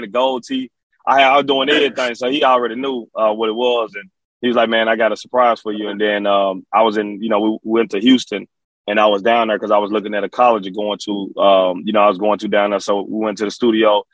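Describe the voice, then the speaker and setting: Man, deeper voice, Memphis Southern accent, casual interview storytelling, slangy and repetitive, very dry sound. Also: fairly bright tone, no room sound, rough recording. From Memphis, Tennessee. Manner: repetitive, slang-peppered, frequent "you know". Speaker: rapper, interview guest.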